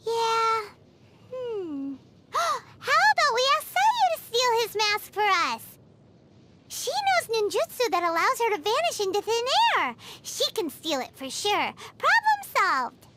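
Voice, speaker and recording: A woman voicing a tiny, bubbly, childlike female fairy companion in a video game, squeaky and excitable, dramatic in manner. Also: a little slow, background noise, exaggerated voice. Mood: pondering. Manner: speaks of self in third person. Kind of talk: video game character dialogue.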